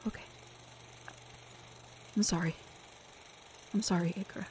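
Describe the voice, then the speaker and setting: A woman's voice: lowish voice, steady tone, a little slow, darkish tone, a little hiss or hum, lightly acted. A young woman playing an anxious, defiant divination student in an audio drama, speaking earnestly with a faltering, wounded delivery. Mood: despondent, anxious.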